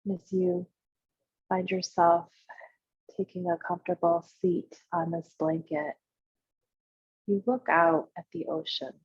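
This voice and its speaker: A woman leading a guided visualization as a meditation guide, speaking in short, unhurried instructional phrases with pauses between them, in a slightly husky voice. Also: on the low side, little pitch movement. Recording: muffled, roomy, echoey sound.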